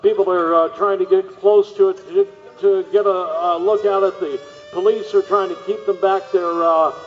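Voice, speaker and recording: A man playing a rattled news reporter live on the scene in a radio drama, halting and stammering with agitation. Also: sits high, steady tone, lightly acted. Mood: astonished. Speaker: field reporter. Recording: dull phone report, long reverb, ambient noise.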